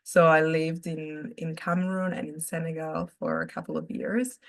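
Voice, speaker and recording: A woman from Italy, Italian her first language, talking in English as a podcast guest. Her voice sits very low with a gravelly, fry-tinged edge. She chats in a relaxed, open way and laughs easily. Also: normal speed. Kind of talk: casual conversational interview.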